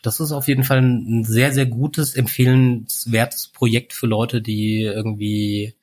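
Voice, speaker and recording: A man in his forties telling stories in a relaxed, rambling way, full of fillers, as a guest in a casual chat. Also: mid-range voice, a little slow. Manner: relaxed storyteller, many fillers like "halt" and "irgendwie". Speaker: podcast guest.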